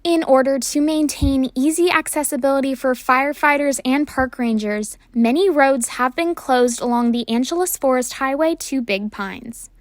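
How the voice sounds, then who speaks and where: A woman delivering a scripted student news report from the field in a steady, measured way. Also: sits high, no echo.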